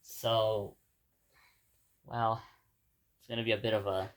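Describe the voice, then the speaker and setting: A man's voice: lowish voice, little pitch movement, slow, fairly bright tone. A man from Ecuador, a native Spanish speaker, gives a casual spoken reflection to his viewers. He rambles, restarts and repeats words, and keeps addressing them as "guys". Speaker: vlogger.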